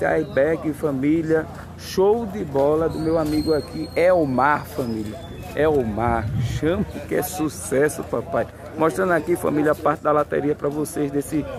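A man's voice: a little high, creaky. Hyped man at a car fair. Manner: upbeat, repeats catchphrases and "família". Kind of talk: hyped sales walkaround. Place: outdoor car lot. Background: crowd chatter at a car fair.

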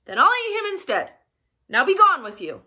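A woman voicing a big, ugly male troll in a children's story, hungry and bullying, with roaring, drawn-out threats. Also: a little high, fairly bright tone. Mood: greedy, excited. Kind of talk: animated children's storybook reading.

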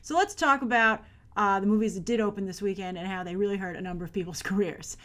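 An American woman in her thirties hosting chatty box office commentary to camera, with emphatic delivery and stressed, drawn-out words. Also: medium pitch, a bit bright. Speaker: movie news show host.

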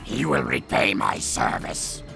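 A proud, sharp-toothed monster warrior in a fighting game. He is menacing and guttural, thick with gravel, with loud music behind. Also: sits low, wildly swooping pitch, a little slow, heavily acted. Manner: menacing, boastful. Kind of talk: video game fighting dialogue.

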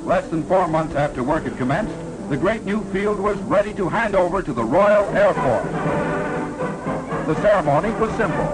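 A man's voice: high-pitched, lively intonation, normal speed, rough recording. A man narrating a scripted 1940s newsreel in a formal, declamatory manner on a vintage film soundtrack. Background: loud orchestral newsreel music.

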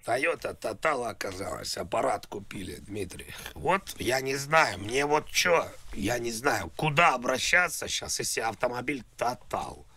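A man on a prank call, talking in a halting, repetitive way like a naive, confused customer. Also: mid-range voice, very animated, bright, forceful tone. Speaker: prank caller. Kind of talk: prank phone call, rambling improvised talk.

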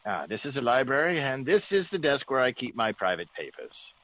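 An actor playing a genteel, easygoing English gentleman with polite, old-fashioned exclamations, in a classic radio drama. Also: mid-range voice, sing-song, a little fast. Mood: genial, dismissive. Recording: dull old radio recording.

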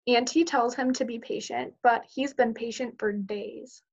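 High-voiced young woman, muffled call audio. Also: steady tone, measured pace. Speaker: teacher. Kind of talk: picture book read aloud for children. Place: indoors, on a group video call.

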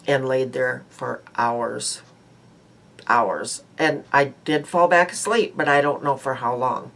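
A woman in her sixties with a stuffy nose, chatting casually to a webcam in a small room at home about her makeup and her day, rambling with many ums and uhs. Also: extremely low pitch. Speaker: beauty vlogger. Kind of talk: casual daily makeup chat. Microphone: webcam at close range.

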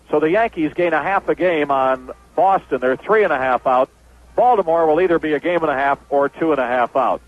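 High-pitched man on vintage dull-sounding radio. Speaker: baseball radio announcer. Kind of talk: 1970s radio sports wrap-up, reading scores and sponsors. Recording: dull lo-fi 1970s radio broadcast.

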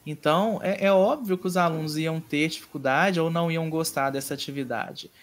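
A man teaching an online mini-course in a measured, explanatory way, often pausing on hesitation fillers. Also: on the high side. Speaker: course instructor.

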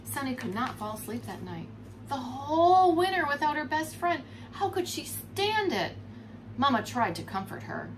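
A woman in her forties reads a children's story in an expressive teacher's manner. Her voice is fairly high and very animated in pitch, with noticeable noise behind it. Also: normal speed. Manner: expressive, explains words to children. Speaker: preschool teacher. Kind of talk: storybook read-aloud for young children. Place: furnished room at home, indoors. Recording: clear background noise.

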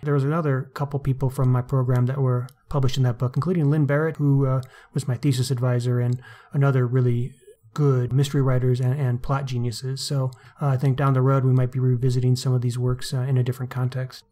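A man's voice: mid-range voice, little pitch movement, brisk, warm tone. A male podcast co-host with a mid-range voice. He talks casually and conversationally, with an enthusiastic way of putting things.

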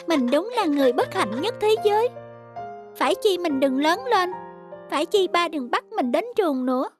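A gentle young schoolboy from a children's cartoon, speaks in a very high voice. He is plaintive and self-pitying, childlike in delivery, with music behind him. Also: lively intonation, moderate pace. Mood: downcast.

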